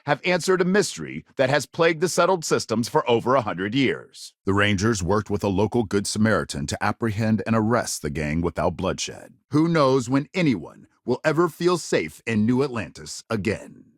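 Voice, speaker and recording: An actor playing a polished, dramatic news anchor in a video game, with measured, grave and emphatic broadcast phrasing. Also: male voice, on the low side, big pitch swings, no room sound, clean studio sound. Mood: formal, serious. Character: polished, dramatic male TV news anchor of a space-age network. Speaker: news reporter. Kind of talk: scripted broadcast news delivery.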